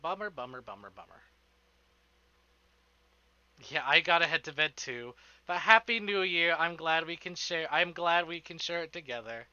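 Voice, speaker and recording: A male streamer talks casually to his viewers in a rambling, sweary, informal way. His voice is very bright and forceful, sitting a little high for a man, and the stream audio is slightly dull and band-limited. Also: animated, moderate pace, pauses often, clean tone, no echo. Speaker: live streamer.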